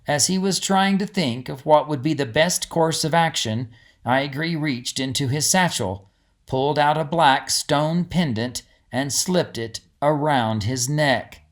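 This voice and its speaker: A man's voice: a little high, a little slow. A man who is an author, narrating a storybook and giving casual commentary on it.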